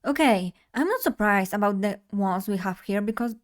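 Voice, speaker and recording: A woman's voice: medium pitch, expressive, moderate pace. Young woman, bubbly casual streamer talking to chat. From Poland. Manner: bubbly, chatty, addresses chat directly. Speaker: vtuber streamer.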